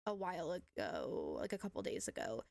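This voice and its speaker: Young woman, hoarse and scratchy from illness, rambling voice-over host, dry close sound. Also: fairly low pitch, huge pitch swings, normal speed, a little mellow, dry recording. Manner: rambling, many fillers and self-corrections. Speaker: gaming channel host. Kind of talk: casual voice-over commentary on gameplay.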